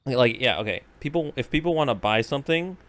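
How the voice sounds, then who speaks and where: A man's voice: medium pitch, animated, fast talker, fairly bright tone. A man giving casual live gameplay commentary, slangy, sweary and rambling. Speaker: game streamer. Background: game music.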